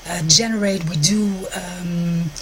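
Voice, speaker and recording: Woman, Belgian French accent, lowish voice, gentle, restarts her sentences, faint outdoor noise. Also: fairly even intonation, slow. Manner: searches for words, frequent restarts, soft gentle delivery. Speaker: relationship coach hosting a live stream. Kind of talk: live-stream talk to viewers. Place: outdoors in a park.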